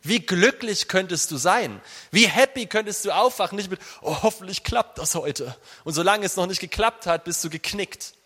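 Male preacher, higher-pitched voice, animated and conversational, informal sermon delivery, long hall reverb. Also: normal speed, hardly any pauses. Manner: animated, conversational, addresses listeners directly.

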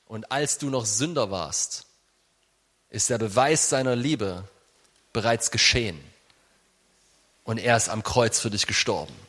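A man preaching an informal sermon in an animated, conversational way, speaking directly to his listeners. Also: medium pitch. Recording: reverberant hall sound.

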